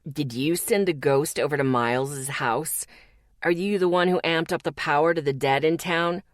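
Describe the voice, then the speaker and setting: A woman voicing a calm, low-voiced young man. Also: measured pace, no echo, studio quality. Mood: gentle. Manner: low, soft and even.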